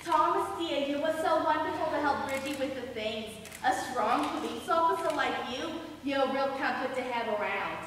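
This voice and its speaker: A woman's voice: sits high, lively intonation, normal speed, few pauses, fairly bright tone. A woman on a school stage playing a spry, meddling, mischievous grandmother in her eighties with theatrical, sassy delivery. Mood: sweetly meddling. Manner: theatrical, sassy, projecting to the audience. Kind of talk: high school stage comedy acting. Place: indoor school theater stage, mic far off. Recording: very echoey, distant, noisy stage recording.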